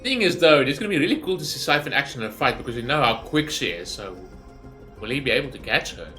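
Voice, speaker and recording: Guy doing a chatty gaming reaction, bright voice, rambles a bit, some music behind him. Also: mid-range voice, expressive, fast talker. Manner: rambling, informal. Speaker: gaming YouTuber. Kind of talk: casual game reaction commentary.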